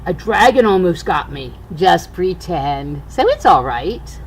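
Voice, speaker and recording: An older woman, a grandmother, reading a rhyming children's storybook aloud in an expressive, singsong way. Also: on the low side, takes it slowly, slight background noise, rough recording.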